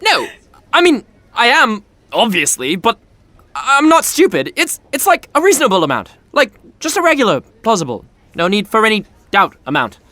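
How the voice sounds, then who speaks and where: An actor playing a lovestruck young royal fiancé in an audio romantic comedy, halting, earnest and easily flustered. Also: male voice, sits high, wildly swooping pitch, sharp tone, dry recording, heavily acted. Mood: flustered, defensive. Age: young adult.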